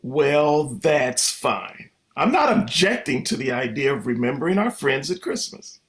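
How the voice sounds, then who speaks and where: A man's voice: mid-range voice, sing-song, a little slow. A man playing a wordy, mock-formal, exasperated middle-aged father in a vintage-style radio comedy. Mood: weary, disapproving. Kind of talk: vintage radio sitcom acting.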